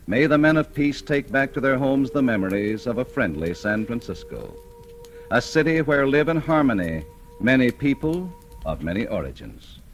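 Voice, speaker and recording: A man with an American accent reading sponsor copy as a 1940s radio announcer, formal and emphatic. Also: mid-range voice. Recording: vintage 1940s radio broadcast.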